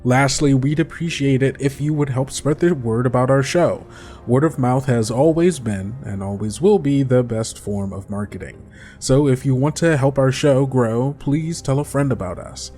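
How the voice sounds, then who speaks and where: A man's voice: mid-range voice, normal speed, dark tone, soft background music. A man hosting an actual play podcast, giving an upbeat promotional read that appeals directly to listeners. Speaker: podcast host.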